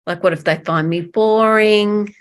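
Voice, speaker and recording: A woman sharing hesitantly as a participant in an online workshop over a video call, halting between thoughts. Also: medium pitch. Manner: hesitant, halting, many fillers.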